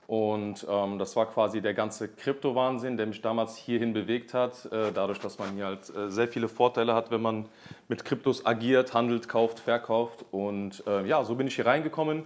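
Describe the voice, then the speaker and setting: A man's voice: fairly low pitch, fairly even intonation, normal speed. A man in his thirties from Germany, a podcast guest talking casually with many fillers and restarts. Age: 30s. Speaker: podcast guest, real estate agent.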